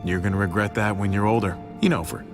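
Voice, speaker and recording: Game role: sarcastic male survivor, the smooth-talking younger brother, played by a man, lower pitch, music behind. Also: steady tone, fast talker, lightly acted. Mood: wry, needling. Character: wry, protective younger brother surviving an apocalypse. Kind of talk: video game voice acting.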